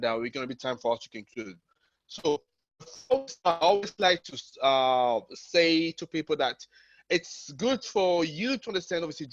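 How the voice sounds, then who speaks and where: A man's voice: medium pitch, fairly bright tone, dry recording. A man giving an informal webinar presentation as a company founder, repeating words and checking in as he goes. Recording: dull, lo-fi online call audio.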